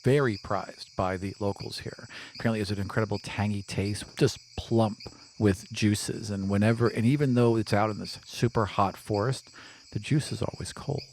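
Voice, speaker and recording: A man's voice: lowish voice, fairly even intonation, normal speed, a little hiss or hum. A man working as game master, narrating a tabletop adventure in a casual, descriptive way.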